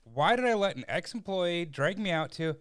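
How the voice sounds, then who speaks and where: An actor playing a grumpy, reluctant, easily flustered executive in a comedic audio drama, exasperated and melodramatic. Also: male voice, sits high, expressive, normal speed, fairly bright tone, no room sound, studio quality. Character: grumpy, reluctant male theme park executive, easily panicked.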